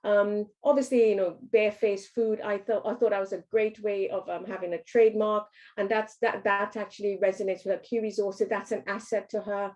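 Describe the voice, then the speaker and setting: A woman's voice: mid-range voice, fairly even intonation, a little fast, hardly any pauses, darkish tone. A woman from Trinidad and Tobago, giving a business workshop talk, hesitant with frequent ums and restarts.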